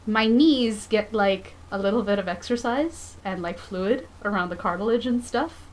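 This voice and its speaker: A woman's voice: medium pitch, low-quality recording. Chatty woman in her thirties. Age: 30s. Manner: chatty, rambling, self-deprecating. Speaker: comic artist streamer. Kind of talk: casual livestream chat. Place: home studio at a drawing desk.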